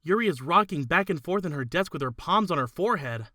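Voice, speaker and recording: A woman voicing an earnest, kindly high school student who narrates in the first person in a visual novel fan dub. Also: expressive, fast talker, pushed and bright, no room sound, clean studio sound. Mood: narrating, observant.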